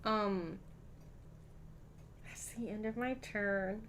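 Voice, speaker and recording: A woman streaming a solo board game, chatting casually and thinking aloud, with frequent ums and throat clearing. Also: mid-range voice, drawn-out words, a bit bright, a little hiss or hum. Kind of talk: casual live-stream play-through.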